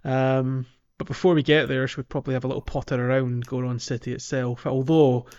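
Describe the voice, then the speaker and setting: Casting: male podcast co-host for casual game chat, rambling and understated, mid-range pitch. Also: level tone, brisk, a little mellow, clean tone, highs cut off. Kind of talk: casual video game discussion.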